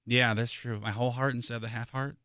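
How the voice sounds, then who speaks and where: A man's voice: mid-range voice, fairly even intonation, quick pace, sharp tone, clean tone, no room sound. A man hosting a morning radio show in casual chat, often restarting his sentences, on a close studio mic.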